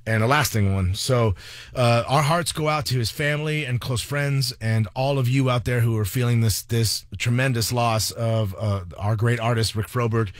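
An American man hosting a radio show, talking off the cuff in an earnest, halting way. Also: medium pitch, steady tone, no echo, studio quality. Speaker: radio host. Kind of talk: unscripted radio talk, tribute. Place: radio studio.

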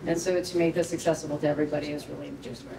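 A woman's voice: deep voice, level tone, rapid-fire, over a noisy crowd. A woman speaking informally to a gathering, effusive and appreciative, recorded in an indoor hall. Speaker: foundation guest speaker and corporate team leader. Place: indoor rehearsal hall, standing before an audience. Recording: roomy, distant mic, clear background noise.

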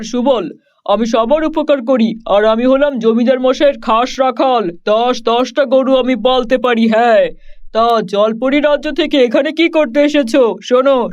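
Guy doing a young cowherd lad for kids cartoon, really high voice, grumbly n boastful, bit muffled recording. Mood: proud, kindly. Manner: grumbling, exclaiming, self-important. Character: good-hearted, boastful young cowherd man. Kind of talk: children's cartoon voice acting.

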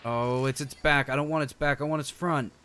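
A man's voice: medium pitch, fairly even intonation, fairly quick, fairly bright tone, smooth. A man doing casual game stream commentary, chatty and slangy, thinking aloud as he plays. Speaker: streamer, show host. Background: music.

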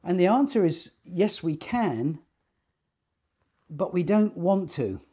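A man in his sixties giving an informal explanatory talk to camera, speaking in measured, deliberate phrases with pauses. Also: sits high, lively intonation, warm tone, husky. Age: 60s. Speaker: loudspeaker designer and company technical director. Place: small attic listening room indoors.

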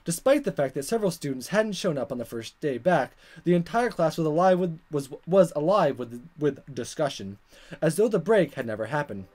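A man's voice: a little high, expressive, brisk, a little mellow. A man reading a visual novel aloud in a dramatic way, sometimes stumbling over words and repeating them. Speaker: gaming video maker. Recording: guitar music behind. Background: game music with plucked guitar.

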